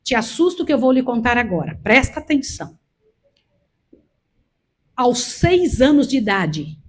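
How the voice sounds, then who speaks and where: A woman's voice: fairly high pitch, sing-song, measured pace. A sixty-year-old Brazilian woman preaching a sermon, emphatic and repetitive, urging her listeners with direct commands. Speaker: preacher, missionary. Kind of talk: sermon to a church congregation.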